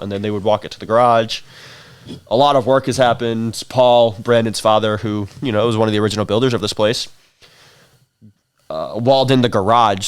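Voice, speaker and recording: A man's voice: fairly low pitch, no echo. A sleep-deprived man hosting a casual livestream in a rambling, informal, self-deprecating way, his voice tired. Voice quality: rough, tired voice. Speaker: livestream host, shop staff. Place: small dark indoor room.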